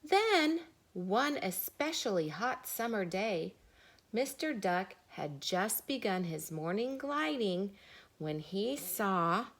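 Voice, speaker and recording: A woman, a teacher, reading a children's story aloud with deliberate dramatic pauses. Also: medium pitch, big pitch swings.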